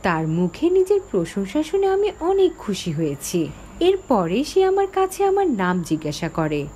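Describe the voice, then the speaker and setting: A woman's voice: medium pitch, wildly swooping pitch, unhurried, warm tone, a little hiss or hum. A woman voicing the sorrowful ghost of a young village teacher, telling her story dramatically in a horror cartoon. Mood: wistful recounting. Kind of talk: dramatic first-person horror story narration. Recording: background music under the voice.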